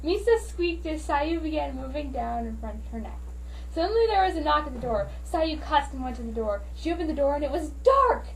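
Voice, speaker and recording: A woman's voice: high-pitched, expressive, fairly quick. A woman giving an amateur dramatic reading of a story in a theatrical, mock-serious manner. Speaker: amateur reader. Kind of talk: dramatic reading of fan fiction. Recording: noisy, distant home microphone.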